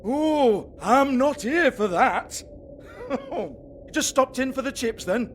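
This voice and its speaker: A man's voice: extremely high pitch, animated, slow, slight background noise, exaggerated voice. An actor playing a bullying, sleazy male brothel boss in a video game, swearing, mocking and berating. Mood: taunting. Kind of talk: video game cutscene acting.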